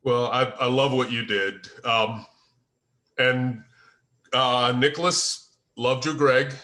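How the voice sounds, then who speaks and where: Man speaking in halting, deliberate phrases. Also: medium pitch, steady tone, sharp tone. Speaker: writer and host of a table read discussion. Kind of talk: casual discussion, giving and asking for feedback.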